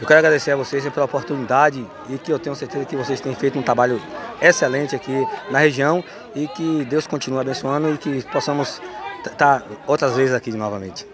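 A man's voice: medium pitch, fairly even intonation, quick pace. Man in his 40s, earnest coach, hesitant, crowd noise from a football ground behind him. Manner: earnest, hesitant with many fillers. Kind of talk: outdoor interview. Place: stadium stands outdoors. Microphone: handheld mic. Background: crowd at a youth football match.

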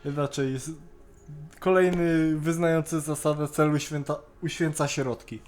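A man talks casually about a series on an online call. He speaks haltingly, often restarting words partway through. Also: a little high, fairly even intonation, darkish tone. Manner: halting, stammers and repeats word starts. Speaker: co-host on a Skype call. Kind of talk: casual chat reviewing an animated series.

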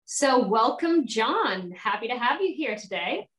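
A woman's voice: a little high, big pitch swings, a little slow, a bit bright. A woman in her thirties hosting an interview over a video call from home. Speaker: interview host, event director. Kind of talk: scripted introduction, then friendly interview questions. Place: living room at home, indoors.

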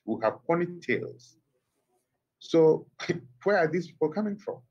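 A middle-aged Ghanaian man speaks over a phone line to a TV studio. His voice is husky and sits at a middle pitch, and he builds his points slowly and firmly, pressing them with rhetorical questions. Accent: Ghanaian English. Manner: measured, emphatic rhetorical questions. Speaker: academic guest on phone. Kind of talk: TV interview commentary.

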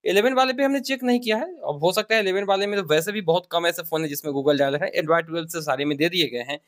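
A man talks viewers through a tech procedure step by step. His voice sits a little high for a man. The delivery is informal and explanatory, and the sound is very dry, with no room echo. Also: quick pace, a bit bright. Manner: explanatory, addresses viewers directly. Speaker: tech tutorial host. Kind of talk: informal step-by-step tutorial voice-over.

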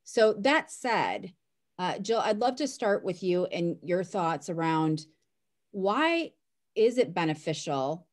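A woman's voice: lowish voice, sing-song, takes it slowly. A middle-aged woman hosting a webinar panel over a video call, chatty and self-deprecating as she introduces the guests. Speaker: host and moderator. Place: home room, indoors.

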